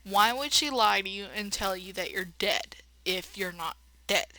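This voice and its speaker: A woman with a bright, crisp-edged voice at a middle pitch hosts a let's play. She moves between dramatic reading of narration and animated, chatty commentary sprinkled with mild folksy exclamations. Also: moderate pace, no room sound, rough recording. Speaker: let's player. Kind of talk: game commentary mixed with dramatic read-aloud narration.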